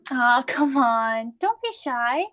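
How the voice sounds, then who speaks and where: A woman's voice: a little high, expressive, slow pace, a bit bright, muffled recording. A woman playing a playful, perceptive young goddess in a staged reading, breezy and teasing. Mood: playful, coaxing. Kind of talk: live staged play reading.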